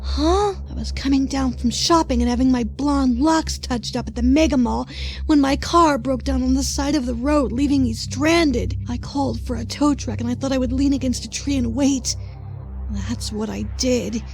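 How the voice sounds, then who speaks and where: A woman's voice: high voice, normal speed, darkish tone, faint music behind. A woman playing a spoiled, huffy young rich girl in an audio drama, with plenty of sass. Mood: flustered. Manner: huffy, sassy. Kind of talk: audio drama acting and first-person narration.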